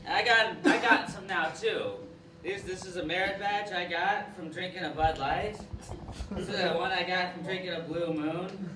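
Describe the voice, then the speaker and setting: A man's voice: high voice, moderate pace, distant mic, natural voice. A man on stage playing a bitter, washed-up former scoutmaster in a live stand-up character set, talking in a rambling, bitter way. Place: live comedy venue with audience. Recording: echoey room recorded from afar, noisy.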